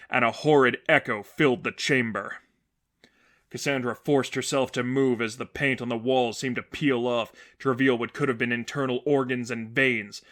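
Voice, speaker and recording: A male narrator reads dramatic fiction in a mid-range voice. His delivery is measured and earnest, keeping up suspense as he moves through scripted prose. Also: mid-range voice, fairly bright tone. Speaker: narrator reading fan fiction.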